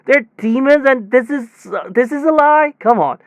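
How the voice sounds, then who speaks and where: A man playing a technician in an audio drama, recounting his workday in a halting, rambling, repetitive way, often interrupting himself. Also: very high-pitched, animated, dry recording. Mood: agitated, insistent. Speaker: air conditioner installation technician. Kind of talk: conversational audio drama monologue.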